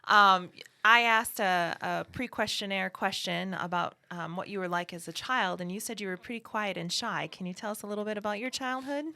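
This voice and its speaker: A woman interview host with a bright, crisp voice asks friendly, upbeat questions. Her voice sits at a medium pitch. The recording is dry and close-miked. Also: normal speed, hardly any pauses, no room sound. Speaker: podcast host. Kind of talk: interview hosting.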